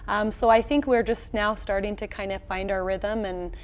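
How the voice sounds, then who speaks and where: An American woman in her thirties with a medium-pitched voice. She speaks as a coach being interviewed, in an upbeat, colloquial and straightforward way. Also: steady tone, normal speed. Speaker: volleyball head coach, interview guest. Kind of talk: conversational sports interview. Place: small indoor locker-room set.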